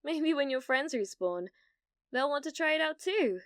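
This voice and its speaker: A British woman playing a needy, delusional young gamer in an ASMR roleplay, hesitant and stammering, blithely casual about dark things. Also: sits high, animated, normal speed, no echo, studio quality. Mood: brightly unhinged.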